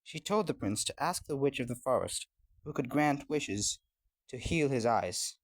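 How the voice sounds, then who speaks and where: A man reading a scripted fairy tale as a storyteller, with an expressive storybook delivery. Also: medium pitch, measured pace.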